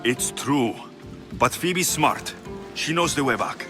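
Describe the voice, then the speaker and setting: A steady, protective mercenary of ancient Greece in a video game. He talks plainly and earnestly at a middling pitch. Music plays clearly behind him. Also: takes it slowly. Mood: concerned. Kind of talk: dramatic game cutscene acting.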